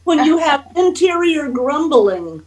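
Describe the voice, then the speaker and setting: A woman with a high, lively voice speaks at a slow pace. She thinks aloud haltingly, offering casual comments as a participant in a group service.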